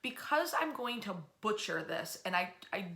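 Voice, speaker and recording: Bright-voiced woman telling history, echoey room. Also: mid-range voice, very animated, moderate pace, creaky. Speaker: history storyteller and tour club host. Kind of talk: informal storytelling history lecture to camera. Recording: roomy, echoey room sound.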